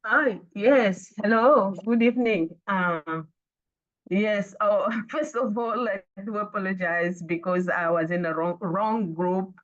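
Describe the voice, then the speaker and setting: A woman's voice: medium pitch, fairly bright tone. Portuguese-speaking woman on a muffled call. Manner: halting, many fillers and restarts. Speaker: fellow sharing in a group call. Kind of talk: casual group discussion. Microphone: video call. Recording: muffled video call audio.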